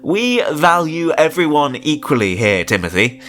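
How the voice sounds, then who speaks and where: A man's voice: a little high, very animated, moderate pace, a bit bright, voice pushed up. A man voicing a beaming, over-friendly male theme park manager in an audio story, folksy and patronizing with a hint of menace. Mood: amused, reassuring.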